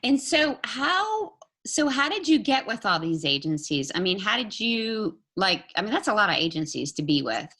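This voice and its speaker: A woman host with a husky, breathy edge to a bright voice that leaps around in pitch. She is eager and exclamatory, interrupting herself to rephrase her questions. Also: very animated, normal speed, hardly any pauses. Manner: enthusiastic, exclamatory, restarts often. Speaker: podcast host, modeling agent. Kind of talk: casual interview.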